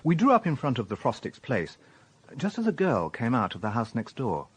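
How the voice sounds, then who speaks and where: An actor playing a methodical, courteous police inspector in a radio drama, measured and polite as he narrates and questions. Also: mid-range voice, wildly swooping pitch, slightly rough. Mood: reflective. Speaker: narrator and lead detective.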